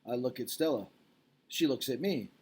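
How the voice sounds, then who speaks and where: A man's voice: mid-range voice, animated, moderate pace, a little mellow, breathy edge. A man speaking as a teacher, casually recapping and reading a storybook aloud in an informal, explanatory way, checking in with listeners.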